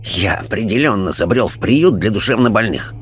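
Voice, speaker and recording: A man voicing a pompous, pious gentleman ghost in an audiobook, speaking formally with offended haughtiness. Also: on the low side, animated, normal speed, a little hiss or hum, close to an everyday voice. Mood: indignant.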